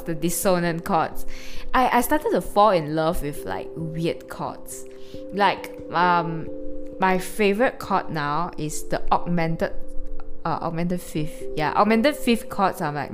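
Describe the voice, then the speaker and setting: Chatty young woman, low voice, music behind. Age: early 20s. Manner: chatty, rambling, full of fillers and restarts. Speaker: podcast host. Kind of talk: casual personal monologue. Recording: background music clearly audible.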